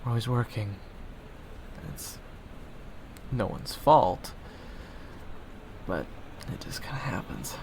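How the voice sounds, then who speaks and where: A man's voice: medium pitch, slow pace, pauses often, noisy background. A young man performing an audio roleplay in a casual, wry manner.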